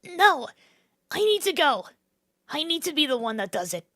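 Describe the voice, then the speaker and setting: A woman's voice: sits high, very animated, moderate pace, sharp tone, no echo, exaggerated voice. A woman in a fan dub playing an anxious, earnest young royal with a stammering, emotional delivery. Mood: urgent, determined.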